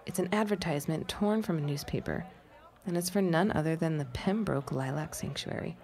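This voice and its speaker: An actress playing a nervous, plucky amateur spy who talks wryly to herself in a period audio drama. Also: female voice, low voice, a little fast, mellow. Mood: curious, hushed. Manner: wry, theatrical self-talk.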